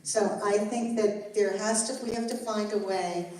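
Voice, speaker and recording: A woman's voice: medium pitch, fairly even intonation, unhurried, a little mellow. An older woman rabbi leading a Torah study, earnest and encouraging as she teaches the group and answers questions. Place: synagogue hall. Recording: echoey room, mic far off, faint noise.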